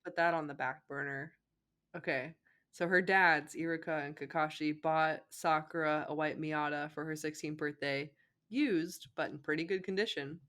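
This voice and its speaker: Female co-host, low voice, informal story reading and chat, playful and giggly. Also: fairly even intonation, normal speed, a bit bright. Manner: giggly, joking asides. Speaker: co-host of a fan fiction reading show. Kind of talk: casual chat, reading fan fiction aloud.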